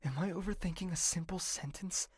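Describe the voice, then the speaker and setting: A man voicing a brooding, lonely young royal, narrating his thoughts in first person in an audio drama, quiet and sometimes whispering. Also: sits high, brisk, husky, dry recording. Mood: wistful.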